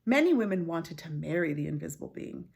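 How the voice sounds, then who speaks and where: A woman reading a story aloud like a teacher, expressive and clearly phrased, with informal asides. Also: low-pitched, fairly quick.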